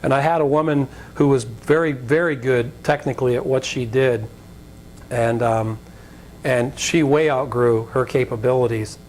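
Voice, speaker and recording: An American man gives an informal guest lecture in a slightly raspy voice of ordinary pitch. He is conversational and jokey, wandering through anecdotes. The live recording carries a faint rumble of background noise. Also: steady tone, moderate pace, a little mellow, low-quality recording. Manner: conversational, joking, digressive. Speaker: guest speaker, business leader. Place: college lecture event before an audience.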